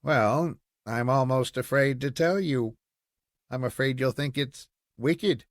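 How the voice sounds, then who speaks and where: A man's voice: medium pitch, slow, no echo, clean studio sound, natural voice. A man voicing a patient, teasing papa in a storybook, speaking with indulgent mock solemnity. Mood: hesitant. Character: patient, teasing papa telling his little daughter a tale.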